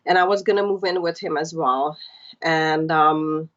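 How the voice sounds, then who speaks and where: Woman, from Hungary, thirties, Hungarian native speaker, low voice, dull muffled sound, rambling and chatty. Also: fairly even intonation, a little slow. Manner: chatty, warm storytelling with many fillers. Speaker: podcast guest. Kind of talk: casual interview chat.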